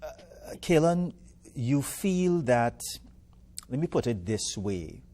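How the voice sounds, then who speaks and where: Mellow-voiced male TV morning host. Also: mid-range voice, very animated, takes it slowly. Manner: friendly, encouraging, folksy questions. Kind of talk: live TV interview.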